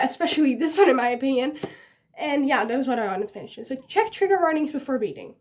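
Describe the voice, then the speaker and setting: High-pitched young Dutch woman, chatty. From Netherlands. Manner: giggly, self-deprecating, rambling. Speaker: book vlogger giving recommendations. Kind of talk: casual chatty vlog to camera.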